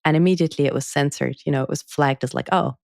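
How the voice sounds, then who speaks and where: Woman author guest, gravelly and dry-miked, measured and articulate with a wry edge. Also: deep voice, darkish tone, no echo. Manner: articulate, measured, wry when telling anecdotes. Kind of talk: podcast interview answers.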